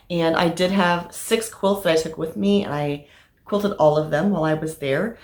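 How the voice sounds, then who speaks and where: Slightly raspy woman chatting about projects. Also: fairly low pitch. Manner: chatty, frequent "um" fillers. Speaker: crafting vlogger. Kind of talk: casual show-and-tell vlog. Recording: echoey room.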